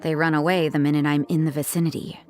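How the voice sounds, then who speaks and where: A woman voicing an aloof, jaded young church sister in a game, with a cool, deadpan, detached delivery. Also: deep voice, fast, over loud music, lightly acted. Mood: flat, resigned. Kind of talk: English game dub voice acting.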